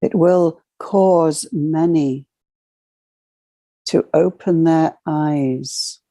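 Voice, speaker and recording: A woman leading a channeled guided meditation, speaking as a solemn spiritual guide in measured, ceremonial short phrases. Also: deep voice, warm tone, no room sound.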